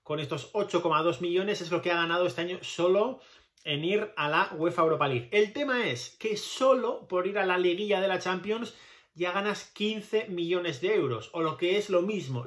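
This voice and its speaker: A man in his thirties with a slightly high voice and a Spain Spanish accent. He hosts a football channel and talks casually to camera, wandering into asides and giving his opinions freely. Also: a bit bright. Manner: conversational, digressive, opinionated. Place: small plain indoor room with pale walls.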